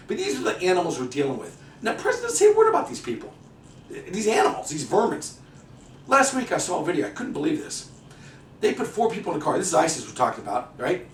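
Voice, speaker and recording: Ranting male commentator, indignant and sarcastic, fast and lively delivery, echoey room, mic at a distance. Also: sits high, a little hiss or hum. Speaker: political commentator. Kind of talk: ranting monologue.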